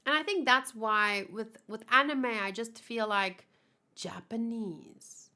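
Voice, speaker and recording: Bright-voiced woman, Afrikaans native speaker. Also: a little high, slow. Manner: rambling, giggly, self-correcting. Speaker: manga read-along host. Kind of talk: casual chatty commentary.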